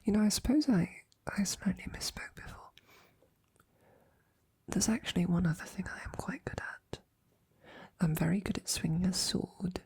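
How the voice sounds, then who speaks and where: Woman acting a hushed, husky, gravelly lady knight. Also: lowish voice. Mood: teasing, flirtatious. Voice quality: breathy, husky, often whispered. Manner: soft, halting, affectionate. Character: devoted female knight, tender and teasing, courting a princess. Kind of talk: intimate ASMR roleplay acting.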